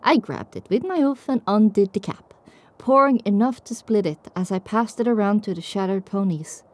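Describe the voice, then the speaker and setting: A woman's voice: medium pitch, normal speed, darkish tone, dry recording, lightly acted. A woman playing a reflective pony survivor, narrating her own story in a dramatic audiobook style. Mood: hopeful. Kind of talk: dramatic first-person scripted narration.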